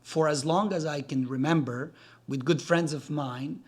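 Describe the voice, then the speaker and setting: Serbian-born man, halting friendly talk. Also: on the high side, fairly even intonation. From Serbia, raised in Gatineau, Quebec. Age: 40s. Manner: hesitant, many fillers and restarts, friendly. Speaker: podcast guest, real estate developer. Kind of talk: casual interview talk.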